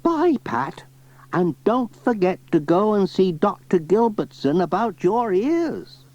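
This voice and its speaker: A man's voice: high voice, sing-song, slow pace, a little mellow, no room sound, voice pushed up, exaggerated voice. A man voicing a kindly, bossy, muddled old granny who is hard of hearing, in a children's storybook. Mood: fussy, kindly. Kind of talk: children's storybook cassette reading. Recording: slightly dull cassette, faint music.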